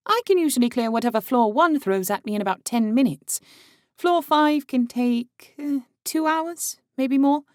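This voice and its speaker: Guy putting on a high light young woman, blunt and matter of fact, dry studio sound. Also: a little mellow, no room sound, full character voice. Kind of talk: audiobook character voice.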